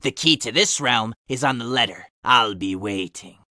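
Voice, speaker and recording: Man voicing a sinister low demon hedgehog. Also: bright, forceful tone, dry recording, clean studio sound. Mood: menacing, gloating. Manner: slow, threatening. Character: sinister male demon hedgehog doppelganger, menacing and gloating.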